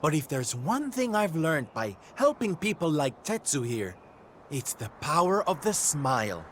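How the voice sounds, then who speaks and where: A male actor playing a flamboyant, warm and peppy troupe leader in a video game, camp, theatrical and exclamatory. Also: a little high, very animated, a little slow, slight background noise. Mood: rousing, grand. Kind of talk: video game cutscene voice acting. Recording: background music under the voice. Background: orchestral game music.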